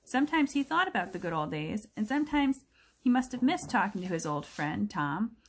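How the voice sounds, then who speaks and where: A woman teacher reads a picture book aloud to children, expressive and explanatory. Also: medium pitch, breathy edge. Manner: expressive, explanatory, pauses to question listeners.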